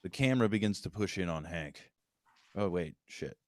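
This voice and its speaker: Guy reading scene directions for a script read, lower voice, dry sound, narration. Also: expressive, moderate pace, no echo. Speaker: stage direction reader.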